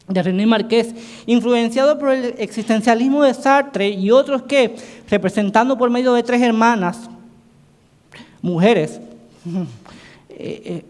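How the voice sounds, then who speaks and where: Man, native Portuguese speaker, high voice, halting academic talk, echoing conference hall. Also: darkish tone. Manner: halting, frequent restarts and self-corrections. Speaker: conference panelist. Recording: reverberant hall sound.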